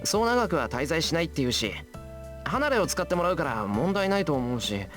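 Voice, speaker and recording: A man's voice: fairly high pitch, very animated, rapid-fire, a bit bright, soft background music. A man playing an earnest, plain-spoken teenage schoolboy in a Japanese visual novel. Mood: firm, explaining.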